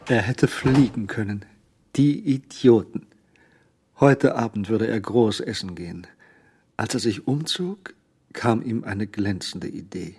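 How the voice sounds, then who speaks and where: An actor narrating a radio crime drama in a cool, sly, scripted manner. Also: male voice, on the low side, takes it slowly, darkish tone, husky, exaggerated voice. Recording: studio radio play with music.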